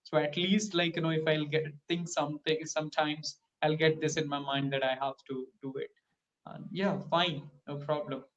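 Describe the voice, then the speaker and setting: Flat-voiced man, Hindi native speaker. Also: fairly high pitch, normal speed, lo-fi sound. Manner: hesitant, fillers like "you know". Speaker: learner in a tutoring session. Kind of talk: conversational practice.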